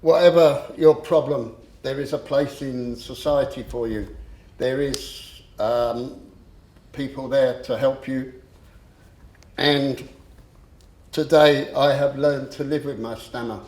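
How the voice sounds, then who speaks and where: A man with a stammer gives a personal talk in a slow, unhurried way. He pauses often and fills the gaps with ums. His voice rings around an echoey hall, at a middle pitch. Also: fairly even intonation, a little mellow. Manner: slow, halting, with frequent pauses and fillers. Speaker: speaker giving a talk. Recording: echoey room.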